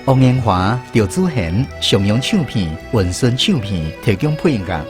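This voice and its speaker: Animated Taiwanese male radio host over music. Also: on the low side, measured pace. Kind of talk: radio presenting, scripted credits.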